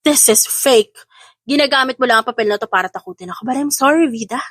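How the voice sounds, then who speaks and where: A woman's voice: high voice, fast, a bit bright, dry recording. A woman playing a defiant, protective new mother in an audio drama, speaking firmly and with emotion. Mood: defiant, angry. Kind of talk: Tagalog audio drama acting.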